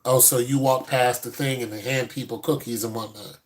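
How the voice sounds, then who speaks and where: A man's voice: mid-range voice, steady tone, moderate pace. A man in his fifties, a host giving blunt, no-nonsense advice to a caller in casual chat. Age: 50s.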